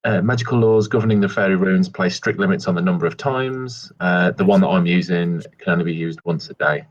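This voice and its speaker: A man's voice: low-pitched, fairly quick, lo-fi sound. A man playing a tabletop roleplay game, chatting casually and hesitantly, sometimes speaking in character.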